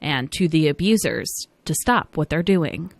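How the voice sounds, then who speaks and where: A woman news reporter reading a scripted story in a measured delivery. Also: low voice, expressive, vocal fry, no echo.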